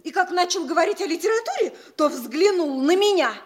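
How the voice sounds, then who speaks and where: Very high, girlish lovestruck daughter. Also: animated, brisk. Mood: plaintive, insistent. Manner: plaintive, girlish, insistent. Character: naive, lovestruck young provincial daughter arguing with mama. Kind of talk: Russian classical stage comedy radio acting.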